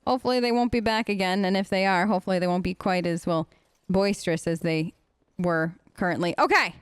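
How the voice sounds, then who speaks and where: A woman's voice: fairly low pitch, fairly quick, clear, resonant tone, dry recording. A chatty woman doing role-play let's play commentary, answering game characters as if she were one of them. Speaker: gaming host, player.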